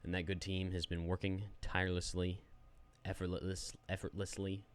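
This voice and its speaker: A man's voice: deep voice, monotone, normal speed. A young adult man giving a rambling, self-deprecating, unedited review of a phone app while sharing his screen.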